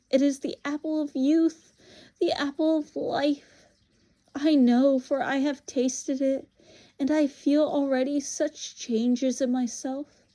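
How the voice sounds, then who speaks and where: A woman's voice: high voice, mellow, voice pushed up. A woman voicing a proud, tempting evil witch in a storybook, commanding, scornful and grandly formal.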